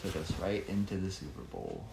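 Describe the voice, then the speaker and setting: A man reads a rhyming picture book aloud, his pitch moving in lively swings. He is emphatic and breaks off to explain things casually to a child. The room is echoey, with faint noise behind him. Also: brisk, creaky. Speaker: children's author reading own book.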